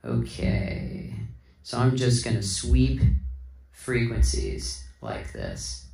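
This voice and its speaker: A man with a lowish voice and plenty of fry explains things casually, step by step. He is unhurried, like an instructor working as he talks. The sound is roomy, picked up at a distance from the mic. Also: huge pitch swings, warm tone. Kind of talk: casual hands-on tutorial talk. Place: indoor room with sound system.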